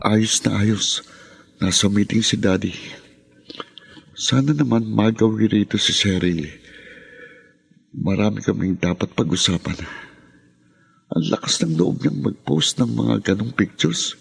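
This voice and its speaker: An actor playing a bitter, rebellious young son in a radio drama, with a scornful, confrontational delivery. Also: male voice, lowish voice, unhurried, creaky, pauses often, husky, no echo, exaggerated voice. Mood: scornful menace. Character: Darwin, bitter rebellious spoiled young son, quick to rage.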